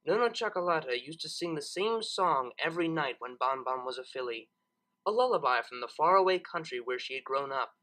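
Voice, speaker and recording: Guy reading a story out loud, bright voice, really animated, kinda high. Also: fairly quick, poor audio. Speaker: narrator reading fan fiction, channel host. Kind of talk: expressive storybook narration.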